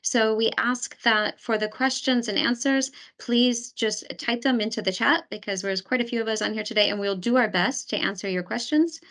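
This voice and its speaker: A woman's voice: a little high, moderate pace, few pauses, fairly bright tone. A woman hosting an online webinar as program advisor, talking with many fillers and restarts over a video call. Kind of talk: webinar presentation.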